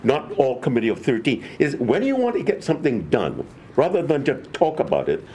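An older man, a professor guest, explaining history in a halting, restarting way full of false starts. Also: medium pitch, slight background noise. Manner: halting, restarts often, animated. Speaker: political science professor guest. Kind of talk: conversational history discussion.

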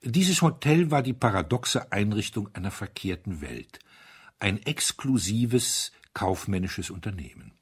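Low, husky male storyteller. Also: sing-song, unhurried. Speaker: audiobook reader. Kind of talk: scripted literary narration.

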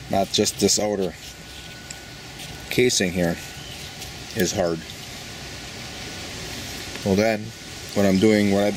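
Man, low voice, folksy rambling gardener, casual walkthrough, outdoors, phone mic, faint noise. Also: poor audio. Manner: rambling, folksy, thinks aloud. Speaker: home gardener. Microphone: handheld phone camera.

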